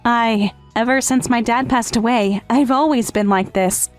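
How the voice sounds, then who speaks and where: Casting: actress as clingy teasing little step-sister, high coy voice, manga rom-com dub, music underneath. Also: female voice, unhurried, lightly acted. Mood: earnest, meek. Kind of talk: English manga dub romantic comedy acting. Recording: music behind.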